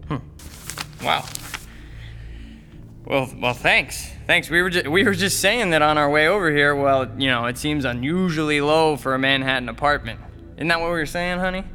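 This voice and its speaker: Young male as eager, jittery boyfriend in audio drama. Slightly high, bright and forceful, babbles to please. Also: normal speed, soft background music. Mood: nervous, ingratiating. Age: young adult. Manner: babbling, ingratiating, repeats himself. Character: eager, nervous young male comedian, half of a couple. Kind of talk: modern audio drama acting.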